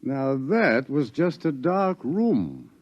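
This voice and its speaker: An actor playing a grave scientist and doctor who lectures in a measured, ominous way. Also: male voice, mid-range voice, huge pitch swings, exaggerated voice. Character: grave older scientist warning of doom. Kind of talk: 1950s radio acting. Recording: dull 1950s radio broadcast.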